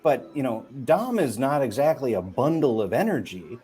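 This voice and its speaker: A man interviewing a guest in a relaxed, enthusiastic way, with many fillers and quick questions. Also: mid-range voice, over a noisy crowd. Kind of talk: casual chat.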